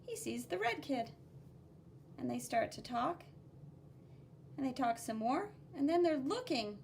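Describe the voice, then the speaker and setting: A woman with a very high voice reads a picture book aloud for children as an art teacher. She takes her time, stressing key words in a sing-song way and explaining the drawings simply. There is faint noise behind her. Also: sing-song, measured pace, gravelly, a little mellow. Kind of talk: expressive read-aloud with drawing commentary for children.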